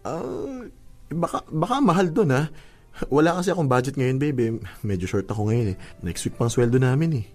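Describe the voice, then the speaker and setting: Actor as young boyfriend, mid-pitched, shy, earnest, proud, hesitant, radio drama, faint music. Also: male voice, expressive, normal speed, a little mellow, lightly acted. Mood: reluctant, embarrassed. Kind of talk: Filipino radio drama acting.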